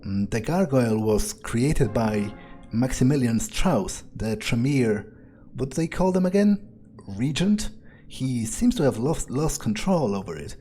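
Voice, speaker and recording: Slow, mellow man musing in character. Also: medium pitch, big pitch swings. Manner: deliberate, musing, thinking aloud. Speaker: gamer playing in character. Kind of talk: improvised in-character game commentary. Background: faint game music.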